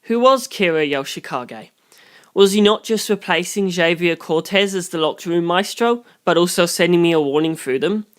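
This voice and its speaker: Conversational first-person audiobook narrator, medium pitch. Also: moderate pace, clean tone. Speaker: audiobook reader.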